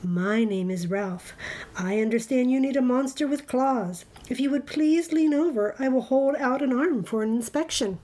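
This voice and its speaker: A high, silky-voiced gentleman monster, as a woman puts him on. He is vain, prim and impeccably polite, straight out of a storybook. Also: moderate pace. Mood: courteous. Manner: refined, courteous. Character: vain, well-groomed, polite male monster.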